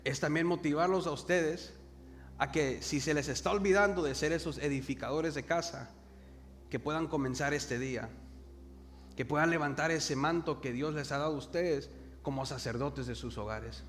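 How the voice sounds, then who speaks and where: Man, young, Salvadoran accent, preacher, slightly high voice, faint background hiss. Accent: Salvadoran Spanish. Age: young adult. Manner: calls the congregation to repeat words, slows for emphasis. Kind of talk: sermon. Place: church hall. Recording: reverberant hall with faint noise.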